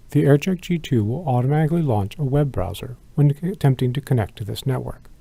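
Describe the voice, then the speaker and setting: Creaky-voiced man giving a product walkthrough. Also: mid-range voice, warm tone, no room sound. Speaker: product demonstrator. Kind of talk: scripted product tutorial narration.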